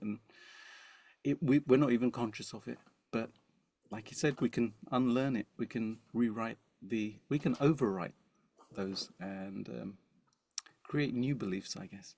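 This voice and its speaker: Brief: man, gravelly, dry close sound, self-deprecating joker, rambling webinar co-host. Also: on the low side, no room sound. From Indian heritage. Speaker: musician, webinar co-presenter. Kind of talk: joking webinar talk.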